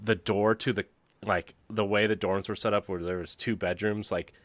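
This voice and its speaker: Low-voiced man telling stories haltingly, dry sound. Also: flat delivery, no echo. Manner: halting, broken into short hesitant phrases. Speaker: podcast co-host. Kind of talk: casual personal storytelling.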